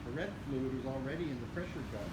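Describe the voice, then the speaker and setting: A man's voice: mid-range voice, fast talker. A man reading a novel aloud as a volunteer reader, now and then stumbling over a word. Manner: occasional stumbles over words. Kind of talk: audiobook-style reading of a novel. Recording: noisy outdoor ambience with vehicles.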